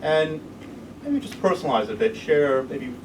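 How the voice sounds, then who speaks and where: A man hosting a live evening interview, asking questions in a relaxed, conversational way. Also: fairly high pitch, normal speed. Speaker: host. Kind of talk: casual event interview. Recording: distant mic with room noise and air conditioning.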